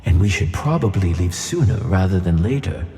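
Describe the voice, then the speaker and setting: A man playing a gentle, wise magical tuna fish who reassures his friend in a children's audio play. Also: low voice, animated, moderate pace, big-room sound. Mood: patient, encouraging.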